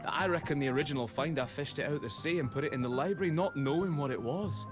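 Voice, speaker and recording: A man's voice: a little high, quick pace, close to an everyday voice. A man playing a gossiping townsman in a fantasy video game, telling things in a theatrical storytelling manner. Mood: intrigued. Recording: game dialogue under prominent music.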